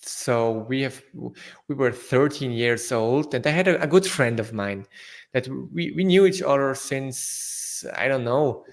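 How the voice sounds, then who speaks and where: A young man from South Tyrol whose first language is German, speaking English with a German accent as an interview guest, halting with fillers and restarts. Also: mid-range voice, expressive. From northern Italy, South Tyrol. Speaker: guest entrepreneur. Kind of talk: casual interview answers.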